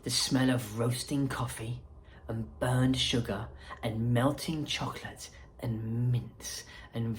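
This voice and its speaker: A woman reading a children's story aloud as a school principal, expressively and with deliberate dramatic emphasis. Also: a bass voice, a little hiss or hum.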